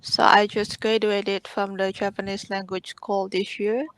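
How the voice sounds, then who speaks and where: A young woman from Bali gives a short, plain introduction over a video call. The sound is dry and close, and her pitch sits at a middle range. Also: little pitch movement, a little slow, no echo. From Indonesia (Bali). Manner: brief, plain self-introduction. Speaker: interviewee. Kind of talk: casual online interview.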